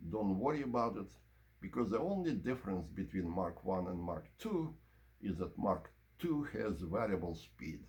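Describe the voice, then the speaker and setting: A man with a fairly low voice gives an informal equipment review. His intonation swings widely as he explains things in measured, broken-up phrases, like someone demonstrating a product to viewers. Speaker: presenter of audio equipment review.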